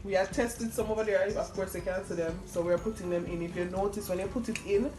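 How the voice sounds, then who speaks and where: A young woman with a Jamaican accent chatting casually in Patois as a teasing, animated cooking co-host. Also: mid-range voice, fast, reverberant. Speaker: cooking vlog co-host, the daughter. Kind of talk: casual kitchen chat. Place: home kitchen. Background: music.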